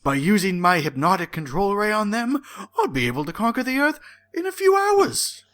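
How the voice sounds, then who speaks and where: A man's voice: high voice, big pitch swings, moderate pace, a bit bright, pitched above the performer's own voice. A man voicing a gloating male villain scientist with a grandiose, mock-dramatic delivery.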